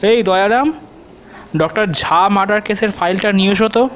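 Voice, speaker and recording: Guy acting as a helpful cop in a detective audio drama, high voice, measured explainer, drops english terms. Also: a bit bright. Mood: brisk, obliging. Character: male police officer, confident, explanatory, helpful friend of detective. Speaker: voice actor.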